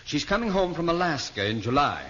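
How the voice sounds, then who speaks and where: Mild proper gentleman forecaster, dry and fussy, lively intonation, muffled 1940s radio comedy. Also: a little high, fairly quick, some chatter behind. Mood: dryly pleased. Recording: muffled, noisy old radio recording.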